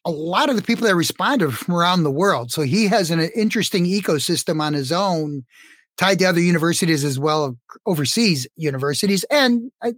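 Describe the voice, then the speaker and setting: Husky-voiced podcast host, dry close sound. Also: male voice, high voice, expressive, a little fast, fairly bright tone, no echo. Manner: casual, prompting questions. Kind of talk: podcast interview.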